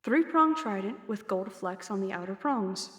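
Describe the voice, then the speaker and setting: A woman's voice: mid-range voice, expressive, normal speed, echoey room, big-room sound, background noise. A woman giving scripted first-person narration for a fantasy story. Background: music.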